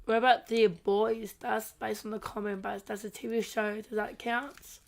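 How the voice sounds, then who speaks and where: A woman's voice: on the high side, level tone, normal speed, creaky, a little hiss or hum. A woman co-hosting a casual podcast, chatting in short, simple remarks. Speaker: podcast co-host.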